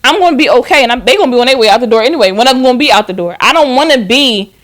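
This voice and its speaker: A woman's voice: on the high side, lively intonation, rapid-fire, fairly bright tone. A woman aged thirty chatting casually as a podcast co-host, blunt and emphatic, swearing freely. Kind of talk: casual chat.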